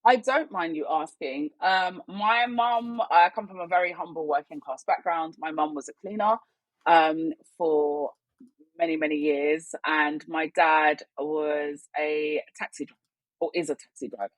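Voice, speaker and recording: Young West London woman, expressive and chatty, dry close sound. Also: low voice, unhurried, dry recording, poor audio. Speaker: guest, workplace culture consultant. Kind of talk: casual interview chat.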